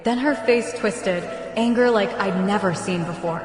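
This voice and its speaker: A woman playing a frightened but determined pregnant teenage girl in a dramatic roleplay story, halting and emotional. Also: medium pitch, close to an everyday voice. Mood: anxious. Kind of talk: dramatic first-person roleplay story acting and narration. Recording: echoey, long reverb, music underneath.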